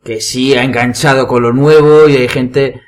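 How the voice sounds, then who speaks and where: A man's voice: medium pitch, fairly even intonation, normal speed. A man with an accent from Spain in casual conversation with friends on a podcast. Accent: Spanish from Spain. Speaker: podcast panelist. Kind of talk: casual chat among friends.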